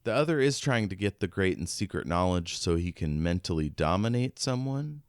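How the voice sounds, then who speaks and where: A man's voice: low voice, lively intonation, normal speed, clear, resonant tone, no echo, clean studio sound. A man co-hosting a podcast, casually discussing a book in an informal, musing way. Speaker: podcast co-host.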